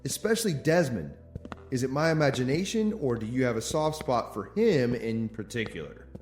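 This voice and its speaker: A man's voice: medium pitch, big pitch swings, moderate pace, darkish tone, natural voice. A man acting in an audio drama as an anxious townsman who presses with skeptical questions and is easily rattled. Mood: skeptical, probing. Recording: echoey tunnel-like reverb with music.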